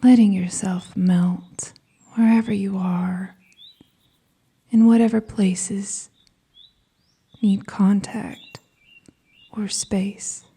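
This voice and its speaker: A woman with a soft, breathy, whispery voice guiding a scripted meditation in a soothing, gentle manner with deliberate pauses. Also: medium pitch, fairly even intonation. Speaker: hypnosis and meditation guide.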